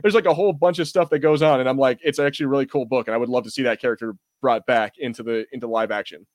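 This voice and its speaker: A man's voice: medium pitch, fairly even intonation, very fast, dry recording. Rambling, enthusiastic man in dry close sound. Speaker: podcast host. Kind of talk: casual fan discussion.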